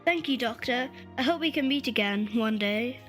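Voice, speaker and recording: A woman's voice: fairly high pitch, lively intonation, moderate pace, a bit bright, soft background music. A woman playing a meek, grateful little boy in an audio drama, polite and wide-eyed. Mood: fond.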